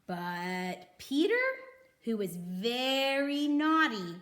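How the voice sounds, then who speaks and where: A woman reads a children's storybook aloud at a slow, deliberate pace. Her pitch moves a great deal, and she leaves dramatic pauses for young listeners. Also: animated, fairly bright tone. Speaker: storybook reader.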